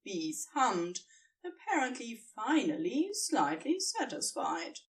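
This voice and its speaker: Slow, very expressive woman narrator. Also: fairly high pitch, far from the mic. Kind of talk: expressive scripted fan fiction narration.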